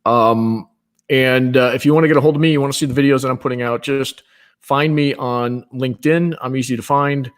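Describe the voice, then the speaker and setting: A man joining an online show as a guest, speaking plainly and directly. His pitch sits in the middle of the male range. He often jumps up in pitch when he stresses a point. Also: steady tone, fairly quick, clear, resonant tone. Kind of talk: casual conversation over video call.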